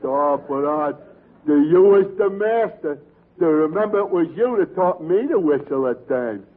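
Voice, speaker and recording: A man's voice: fairly high pitch, animated, moderate pace. A man playing a naive, dim-witted, lovelorn sidekick with a hesitant, earnest way of talking in 1940s radio comedy. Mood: sheepish. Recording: tinny vintage radio broadcast.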